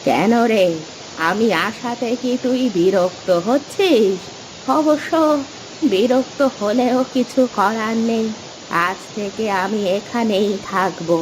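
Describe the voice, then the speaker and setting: A woman's voice: fairly high pitch, takes it slowly, noisy background. A woman playing a bossy, demanding old village aunt in a cartoon, with a scolding, imperious way of talking. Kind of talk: Bengali cartoon voice acting.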